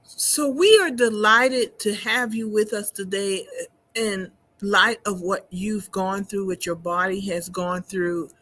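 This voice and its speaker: A woman hosting a talk show, asking questions in a warm, encouraging manner. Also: mid-range voice, steady tone, takes it slowly. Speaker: host. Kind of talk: interview conversation.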